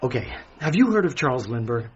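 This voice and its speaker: An actor playing an earnest reporter, direct and polite but urgent, in a radio drama. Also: male voice, medium pitch, sing-song, fairly quick, close to an everyday voice. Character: urgent, earnest American newspaper reporter, adult man. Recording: muffled, noisy radio drama scene.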